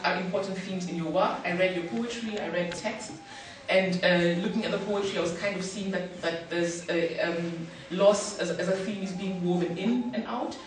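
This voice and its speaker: A woman moderating a panel, asking a question haltingly with many fillers and restarts. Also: medium pitch, slight background noise. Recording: echoey hall, far from mic.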